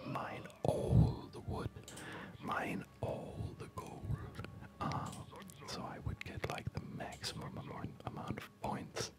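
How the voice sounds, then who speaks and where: Whispering man, slow ASMR game commentary. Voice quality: soft breathy whisper. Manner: relaxed, unhurried, chatty. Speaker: host of an ASMR gaming channel. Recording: background game music. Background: loud game music.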